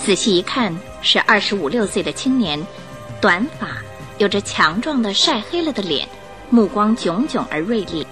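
A woman gives scripted narration for a radio play. Her mid-pitched voice is animated and expressive, telling the story over faint music. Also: normal speed.

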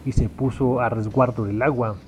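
A man's voice: mid-range voice, fairly even intonation, moderate pace. A man reading scripted narration for a sci-fi noir audio drama. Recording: clear background noise, ambient sound effects.

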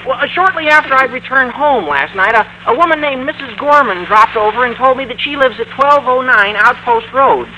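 An actor playing an excitable, scheming high school boy with a squawky adolescent voice in an old-time radio comedy. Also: fairly quick, hardly any pauses, exaggerated voice. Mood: sheepish, eager. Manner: gushing, stammering, eager. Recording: faintly noisy vintage radio recording.

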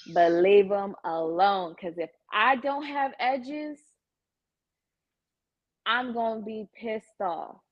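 A woman's voice: mid-range voice, slow pace, pauses often. Blunt, slangy woman on a dull line. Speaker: guest and salon client. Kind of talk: casual chat.

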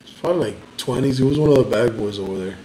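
A man's voice: mid-range voice, fast, dark tone. Crude rambling man in an echoey room. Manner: crude, rambling, heavy on filler words. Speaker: podcast co-host. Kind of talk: casual chat. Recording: echoey room with faint background noise.

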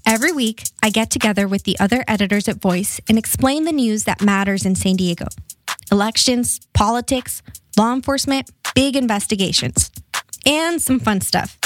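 Woman, scripted podcast promo, bright upbeat read, fairly high voice, drum machine bed. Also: normal speed, soft background music. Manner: upbeat, listing items one by one. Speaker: podcast host in an ad.

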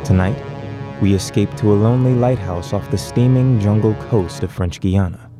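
A man's voice: lowish voice, normal speed. A man announcing an old-time radio drama in a dramatic, portentous manner. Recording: music behind the voice.

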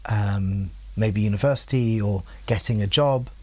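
A man speaking as a podcast guest, thoughtful and hesitant, asking rhetorical questions and pausing with fillers. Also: on the low side, mellow, dry recording. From England. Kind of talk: casual podcast conversation.

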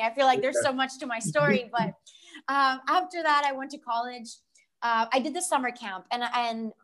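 A young woman from Rico, telling her story as a guest in a lively, rambling way with lots of "like". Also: a little high. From Puerto Rico. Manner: animated, rambling storyteller, frequent "like". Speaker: guest actress. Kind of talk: casual interview storytelling.

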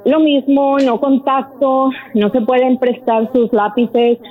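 A woman calls into a radio show over a tinny, thin phone line, her voice pitched a little high. She explains things step by step in detail, filling pauses with "este". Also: flat delivery. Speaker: caller. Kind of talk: phone-in conversation.

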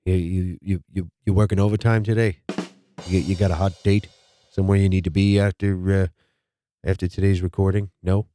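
A man hosting a casual podcast, stuffed up, talking in a rambling, halting way and repeating his words. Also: low voice, flat delivery, mellow, slight background noise. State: stuffed up nose. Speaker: podcast host.

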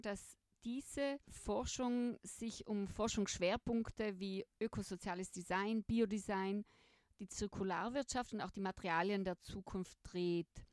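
A woman's voice: mid-range voice, darkish tone, no echo, studio quality. A woman hosting a podcast, asking formal and polite interview questions.

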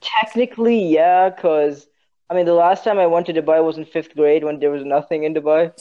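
A man's voice: on the high side, steady tone, fairly quick, mellow, lo-fi sound. A young man from Saudi Arabia chatting casually as a podcast guest, informal, joking and rambling loosely. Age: young adult. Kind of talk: casual chat.

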